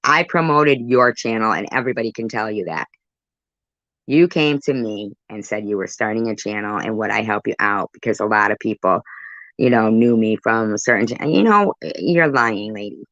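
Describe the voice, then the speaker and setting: A woman's voice: very deep voice, moderate pace, fairly bright tone, no room sound, highs cut off. A woman hosting her channel, cutting in on a clip with heated, swearing rebuttals. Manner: combative, swearing, cuts in to rebut. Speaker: channel host reacting to a clip. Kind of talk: heated commentary.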